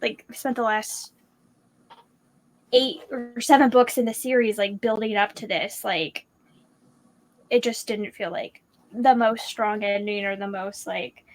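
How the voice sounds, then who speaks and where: A woman in a casual book discussion, giving her opinions as a panelist in a halting, informal way, thinking aloud. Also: mid-range voice, steady tone, poor audio.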